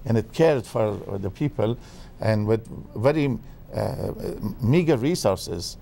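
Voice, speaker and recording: A man from Afghanistan, a guest expert in a TV interview, speaking haltingly with many fillers and restarts. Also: lowish voice, animated, creaky, dark tone, slight background noise.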